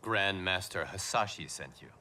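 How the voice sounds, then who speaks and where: Earnest warrior monk, lively pitch. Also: male voice, normal speed, noisy background. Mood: grave. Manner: earnest, formal, intense. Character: earnest, resolute male Shaolin warrior monk. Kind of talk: video game cutscene acting. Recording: loud music behind.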